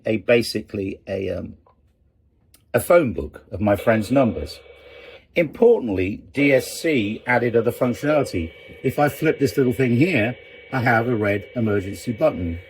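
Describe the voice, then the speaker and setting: A man's voice: medium pitch, a little mellow, a little hiss or hum. A middle-aged man giving an informal, explanatory lesson as a sailing instructor, conversational and stressing key terms.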